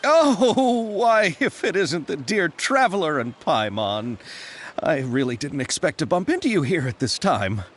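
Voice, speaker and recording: A man voicing an earnest, excitable, polite young festival organiser in a video game, explaining things in a courteous, bookish way. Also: on the high side, measured pace, heavily acted. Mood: flustered, dismayed.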